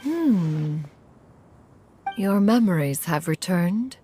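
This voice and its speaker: Game role: stately ancient crane immortal, female, slow and low, formal archaic diction, considerate beneath the aloofness. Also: lively intonation, mellow, faint music behind. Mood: grave, considerate. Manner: formal, archaic, speaks of herself as "one". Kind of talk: video game voice acting.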